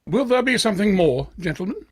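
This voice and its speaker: A man playing a stuffy, haughty waiter in an old-time radio drama, formal, pompous and icily polite, with a husky voice. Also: high-pitched, very animated, brisk, no echo, clean studio sound, heavily acted. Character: stuffy, haughty, easily offended male waiter. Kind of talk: vintage radio drama acting.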